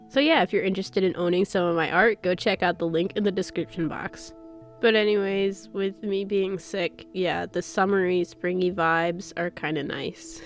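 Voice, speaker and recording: A young woman hosting her art channel, talking casually while she paints. She has a cold, so her voice sounds stuffy, and she rambles in a chatty way with soft laughs and fillers. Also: lowish voice. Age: young adult. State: stuffy, sick voice with a cough. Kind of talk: casual chat over a paint-with-me video.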